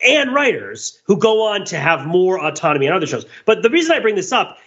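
A man's voice: high-pitched, huge pitch swings, a little fast, fairly bright tone, dull audio. A man talking through ideas on a podcast in a casual, analytical way, digressive and emphatic. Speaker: podcast host.

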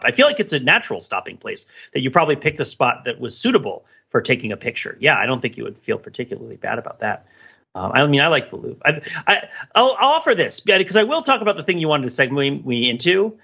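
American guy on a podcast, kinda raspy but bright, rambly and analytical, casual chat. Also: medium pitch, highs cut off. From New Jersey, United States. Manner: discursive, analytical. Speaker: podcast co-host. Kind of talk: casual discussion with personal anecdotes.

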